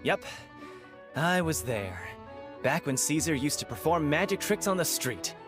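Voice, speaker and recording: A boastful, chatty townsperson from a video game. The delivery is emphatic and swings widely in pitch, with faint music underneath. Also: big pitch swings, measured pace. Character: opinionated, boastful townsperson, loyal and forgetful gossip. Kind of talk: video game character acting. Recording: music behind the voice.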